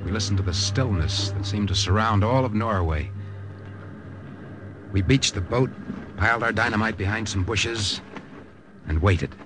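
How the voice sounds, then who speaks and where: A man acts as a terse American secret agent in a vintage radio drama. His voice is on the low side, and he delivers his words in a clipped, matter-of-fact, steady way. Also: normal speed, pauses often, over music, natural voice. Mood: grave, narrating. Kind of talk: 1950s radio drama narration and acting.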